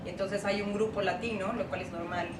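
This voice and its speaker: A woman's voice: medium pitch, steady tone, fairly quick. A Mexican woman introducing herself on a panel, matter-of-fact. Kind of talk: panel talk. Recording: echoey hall, distant mic, loud noise.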